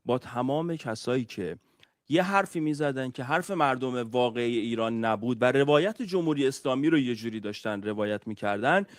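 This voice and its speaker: A man with a mid-range voice presents in a conversational broadcast style. He introduces his guest at a very rapid pace, words coming quickly one after another. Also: mid-range voice.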